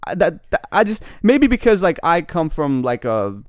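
A man's voice: fairly high pitch, big pitch swings, normal speed, dry recording. Young guy from pakistan, rambly slangy chat, fixes himself a lot, dry close mic. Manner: rambling, slangy, self-correcting. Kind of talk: casual chat.

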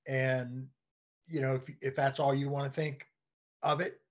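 A man speaking as a podcast guest in a halting, conversational way, often repeating words as he goes. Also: medium pitch, little pitch movement, highs cut off. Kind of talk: conversational discussion.

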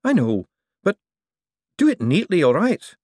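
A man voicing an anxious young man, pitched higher and strained. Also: huge pitch swings, measured pace, a little mellow, no room sound, studio quality, heavily acted. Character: nervous young man buying a fake.

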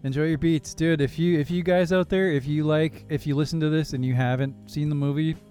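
Young guy on a movie podcast, mellow warm voice, rambly and jokey, faint music under him. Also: fairly high pitch, fairly even intonation, a little fast. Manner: laid-back, rambling, jokey. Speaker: podcast co-host. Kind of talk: casual film podcast chat.

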